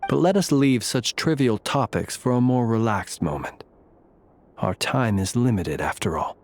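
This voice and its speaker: A man playing a composed, analytical, enigmatic warrior in a video game, speaking in a measured, formal, solemn manner. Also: medium pitch, expressive, a little mellow, slight background noise, natural voice. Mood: calm, analytical. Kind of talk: video game character acting. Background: music.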